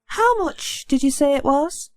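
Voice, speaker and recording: A woman's voice: high-pitched, animated, measured pace, darkish tone, no echo. A woman voicing an exasperated, practical wife and mother in a children's storybook recording. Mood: questioning, doubtful. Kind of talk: audiobook character acting.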